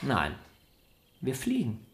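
Vain star violinist in a radio play, self-important and argumentative, roomy echo. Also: male voice, medium pitch, huge pitch swings, takes it slowly, heavily acted. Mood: resigned, sly. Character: vain, stubborn, celebrated male violinist arguing with his sister. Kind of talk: German radio play acting.

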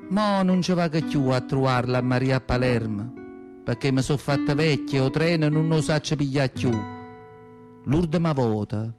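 A man's voice: medium pitch, moderate pace, natural voice. A male actor playing an elderly southern Italian mother, rambling and confiding her memories in Neapolitan dialect in a radio monologue. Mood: resigned, plaintive. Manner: rambling, confiding reminiscence with repeated phrases. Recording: guitar music behind the voice. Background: plucked guitar music.

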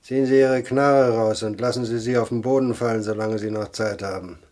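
A man playing a composed, menacing crook in a German radio crime drama, speaking in a dry, measured way. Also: fairly low pitch, fairly even intonation, a little mellow, natural voice. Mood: calm menace.

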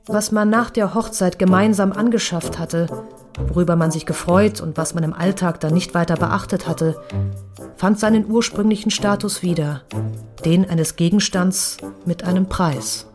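A woman's voice: fairly low pitch, moderate pace. A woman delivering scripted literary narration for an audio drama, precise and matter-of-fact. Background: music.

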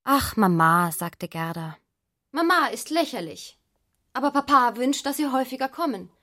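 A headstrong, nervous young woman of twenty-three in a literary radio play. Her voice is bright and somewhat high, with proud delivery. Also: lively intonation, normal speed. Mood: pointed, restless. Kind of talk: literary radio drama acting.

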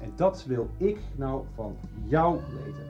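An actor playing a composed, businesslike police detective in a radio soap, speaking with measured authority. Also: male voice, mid-range voice, big pitch swings, darkish tone, muffled recording, soft background music. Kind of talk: 1990s radio soap acting.

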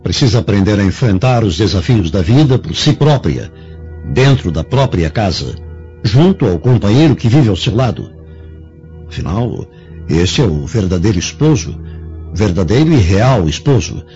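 A man's voice: on the low side, mellow. An actor playing a stern, suspicious Roman husband and father in a radio drama, with a commanding, lecturing manner. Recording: dull lo-fi audio with faint music.

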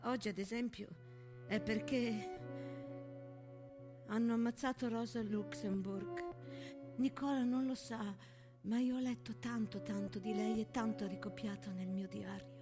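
A woman's voice: fairly high pitch, fairly even intonation, a little slow. A woman playing an earnest, disoriented young wartime woman, declaiming plaintively and emphatically in a theatrical monologue. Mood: agitated, plaintive. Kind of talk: theatrical monologue set to music. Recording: stage monologue with music behind.

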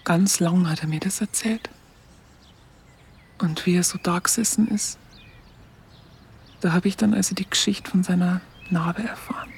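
An actress playing a tender, smitten woman who recalls her lover in a soft, breathy, confiding voice. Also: on the low side, fairly even intonation, moderate pace, pauses often, exaggerated voice. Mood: tender, wistful. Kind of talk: intimate radio play monologue. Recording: studio radio drama with soft music.

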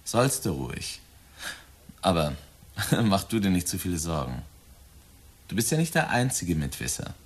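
A man's voice: low-pitched, very animated, unhurried, pauses often, slightly rough, full character voice. A man in a German radio drama playing a cynical 23-year-old murderer who taunts his father in a cold, flippant, insinuating way. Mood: veiled threat.

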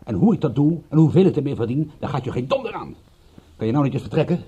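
A man acting in a radio play as a stubborn, irritable private detective, gruff and curt, with a wry, principled streak. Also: a little high, sing-song, quick pace, mellow, dull audio, exaggerated voice. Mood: irritated, defiant.

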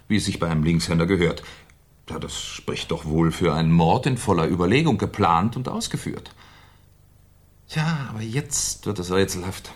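A very low-voiced, shrewd police commissioner in a 1970s radio crime play. He muses aloud with sly politeness, his husky delivery rising and falling in lively swings as he probes a suspect. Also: full character voice. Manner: musing, hesitant, slyly polite questioning. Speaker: detective in a crime drama. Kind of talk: 1970s German radio crime drama acting.

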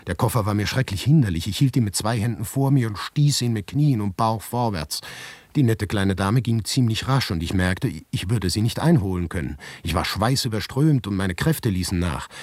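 A grim harried writer narrating a radio drama, really deep husky male voice, dry deliberate delivery, super dry studio sound. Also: lively intonation, a little mellow, dry recording. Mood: disgusted, strained. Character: morbid, harried male writer recounting his grim predicament. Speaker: actor narrating as the protagonist. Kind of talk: literary radio drama monologue, first-person narration.

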